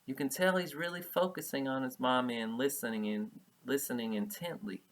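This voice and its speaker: A man's voice: medium pitch, fairly quick. A man reading a picture book aloud as a preschool teacher, explaining things simply and repeating key words for young children.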